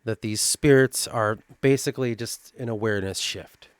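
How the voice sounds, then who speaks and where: A man with a Midwestern accent reading through his own fantasy lore notes and thinking aloud, halting and restarting as he goes. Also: lowish voice, smooth, dry recording. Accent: Midwestern American. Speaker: worldbuilding writer.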